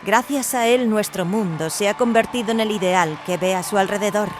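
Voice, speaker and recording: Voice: medium pitch, brisk, faint music behind, voice pushed up, close to an everyday voice. A performer voicing a solemn, ceremonious clone leader who declaims grandly in an audio drama. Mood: solemn, assured.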